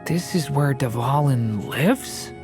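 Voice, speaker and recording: A woman playing a polite, gentle young dragon boy in a video game. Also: extremely low pitch, expressive, slow, over music, heavily acted. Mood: thoughtful, curious.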